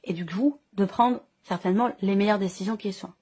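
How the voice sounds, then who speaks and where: A woman's voice: medium pitch, fairly quick, mellow, breathy edge. A woman giving an improvised, intuitive tarot reading as host, feeling her way through phrases and restating ideas in lists. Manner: hesitant, searching phrasing with repeated enumerations. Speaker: tarot card reader and channel host.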